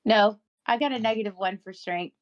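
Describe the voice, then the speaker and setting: A woman plays a tabletop role-playing game with others. She describes her character's moves in casual chat, with an average pitch. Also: fairly quick, muffled recording.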